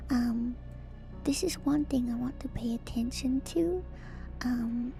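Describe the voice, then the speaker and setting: Female ASMR streamer, breathy whisper with fry, musing and unhurried, close-miked. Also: a little high, dark tone, faint music behind. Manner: hesitant, musing, unscripted. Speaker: livestreamer host. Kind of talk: whispered ASMR video game lore commentary.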